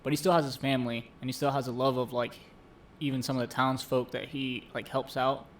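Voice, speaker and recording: A man with a mid-range voice co-hosting a live stream, speaking earnestly and casually. He retells a story with plenty of fillers and restarts. A faint low rumble runs under the recording. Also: little pitch movement, fairly quick. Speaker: co-host. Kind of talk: casual chat. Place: home streaming setup.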